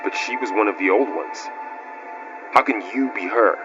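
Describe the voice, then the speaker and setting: A man's voice: fairly low pitch, lightly acted. Earnest guy sidekick in a video game male actor, puzzled, sincere, muffled sound, music behind. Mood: puzzled, curious. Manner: questioning, sincere, measured. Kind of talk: naturalistic video game dialogue.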